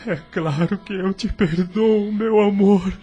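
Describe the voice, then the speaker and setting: Actor as a husky, worn-out devoted husband. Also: male voice, sits high, fairly even intonation, dark tone, highs cut off, exaggerated voice. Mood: distraught. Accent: Brazilian. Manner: hesitant, halting. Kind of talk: audio drama acting. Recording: music under the voice.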